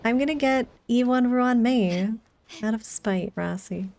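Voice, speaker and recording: A woman's voice: a little high, unhurried, a little mellow, no room sound. A woman streaming a video game, chatting casually with her viewers as she plays. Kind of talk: casual chat with viewers during gameplay.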